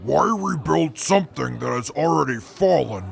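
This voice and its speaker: A man's voice: on the high side, animated, normal speed, creaky. A man voicing a pompous, grandiose schemer who speaks in haughty, old-fashioned phrases in an audio drama. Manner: haughty, archaic indignant phrasing.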